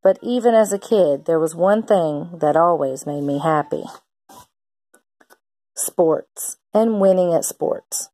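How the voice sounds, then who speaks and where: A woman's voice: lowish voice, slow pace, mellow, no echo. A woman reading a children's picture book aloud like a teacher, expressively acting out the characters' lines.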